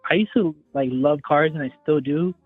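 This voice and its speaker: Caller, young man in his twenties, higher-pitched, chatty and rambling, heard through a thin phone line. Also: a little fast, faint music behind. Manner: rambling, hedging with "like". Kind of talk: casual phone call.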